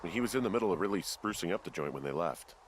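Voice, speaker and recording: An actor playing a wry, easygoing fire lookout in a video game, talking in a dry, self-deprecating, casual way. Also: male voice, low-pitched, lively intonation, fast talker, background noise. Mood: reflective, wry. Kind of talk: naturalistic video game dialogue.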